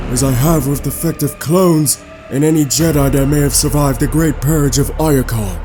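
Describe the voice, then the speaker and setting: A man voicing a coldly logical robot scientist villain, dictating formal, precise audio logs with a clinical, condescending manner in a fan-made sci-fi drama. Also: a little high. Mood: coldly menacing.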